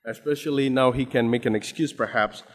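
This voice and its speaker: A man's voice: medium pitch, steady tone, brisk. A man from Indonesia with an Indonesian accent, preaching a sermon with frequent restarts and repeated words, building to emphatic stress. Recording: long hall reverb.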